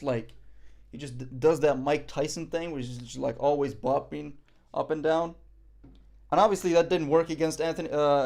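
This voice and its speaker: A man's voice: a little high, darkish tone. A young man from Morocco, casually commenting on a boxing event on a live stream, rambling and joking with frequent swearing and fillers.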